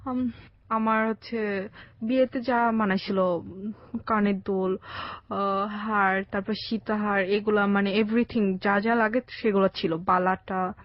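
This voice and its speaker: A young woman with a Bangladeshi accent telling her own life story conversationally, in a halting way with bits of English. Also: medium pitch, fairly even intonation, dry recording. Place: radio studio. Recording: dry, slightly dull radio sound.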